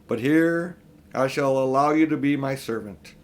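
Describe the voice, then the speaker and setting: A man's voice: mid-range voice, moderate pace, close to an everyday voice. A man voicing a haughty, cruel witch queen in a children's storybook, domineering and scornful as she gives commands. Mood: commanding. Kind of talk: children's storybook read aloud.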